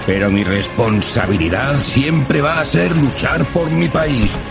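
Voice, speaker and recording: An actor with a Spanish accent from Spain playing a proud, touchy soldier hero in an audio fiction. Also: male voice, medium pitch, slow, creaky, music in the background. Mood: solemn, heroic.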